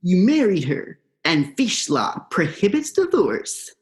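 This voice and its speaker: Young woman playing a slangy sardonic eel dude, swooping pitch. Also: very animated, slow pace. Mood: sardonic, menacing. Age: young adult. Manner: casual, slangy stoner drawl. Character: laid-back, vengeful male eel, slangy and sardonic. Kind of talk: student radio play acting.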